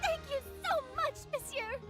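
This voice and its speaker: A woman's voice: extremely high pitch, moderate pace. An actress voicing an eager young female reporter in a video game, bubbly and theatrical. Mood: excited, eager. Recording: clear music behind the voice. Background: game music.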